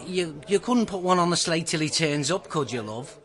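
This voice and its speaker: An actor playing a matey pub regular in a radio drama, rambling and colloquial, a bit of a wheedler. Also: male voice, a little high, expressive, normal speed, fairly bright tone. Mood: grumbling, wheedling. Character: worried, matey pub regular cadging drinks.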